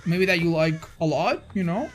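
A man in his thirties doing excitable live gameplay commentary for a chat, exclaiming and repeating phrases for emphasis. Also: on the high side, moderate pace, soft background music. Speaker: video game streamer. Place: indoor streaming room.

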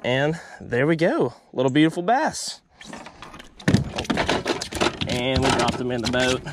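A man's voice: medium pitch, very animated, takes it slowly, very noisy. A young man, chatting upbeat to viewers while fishing from a small boat outdoors, recorded on an action camera. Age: young adult. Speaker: fishing vlogger. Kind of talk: casual vlog commentary.

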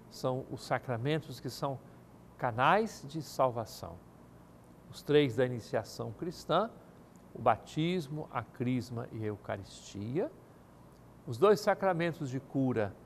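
An older man speaking as an archbishop in a TV studio, answering viewers' questions in a measured, formal pastoral manner. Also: medium pitch, slight background noise. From Brazil. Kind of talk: televised pastoral talk. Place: TV studio set. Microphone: studio mic, seated at desk.

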